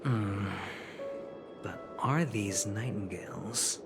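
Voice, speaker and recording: A man's voice: lowish voice, slow pace. An actor playing a playful, sly man in a video game, needling his companion with nonchalant, teasing irony. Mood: curious, casual. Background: music.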